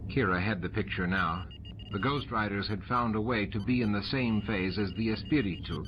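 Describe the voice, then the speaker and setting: Low male audiobook narrator, lo-fi. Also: moderate pace. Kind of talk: scripted narration of a science fiction novel. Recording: dull lo-fi tape, noisy ambient effects. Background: added ambient sound effects.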